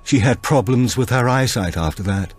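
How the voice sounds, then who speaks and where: A man's voice: medium pitch, normal speed, breathy edge, pitched above the performer's own voice, natural voice. A man reading an audiobook, voicing a brusque, difficult older woman who talks in a curt, dismissive way. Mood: matter-of-fact.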